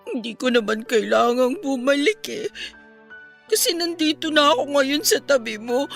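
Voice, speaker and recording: A woman's voice: sits high, expressive, normal speed, soft background music. A woman with a Filipino accent playing a feisty but devoted young woman, with emotional delivery in a radio drama. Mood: tender, supportive.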